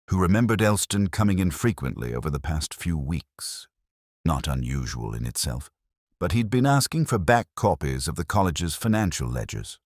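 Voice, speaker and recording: A man narrating a first-person detective story in a measured, brooding, literary manner, in short deliberate phrases. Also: very deep voice, dry recording, studio quality. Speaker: audio drama narrator.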